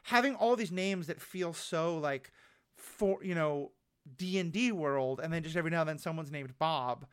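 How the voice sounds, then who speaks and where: Male podcast co-host, high voice, rambling, lots of "like". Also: normal speed, smooth. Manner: rambling, full of fillers. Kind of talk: casual chat.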